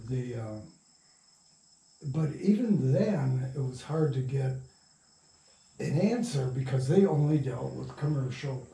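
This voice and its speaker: An older man being interviewed, telling stories in a halting, rambling way with trailing thoughts. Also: medium pitch, expressive, warm tone. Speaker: interview guest, radio play producer. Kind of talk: casual reminiscing interview. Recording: roomy, distant mic, faint noise.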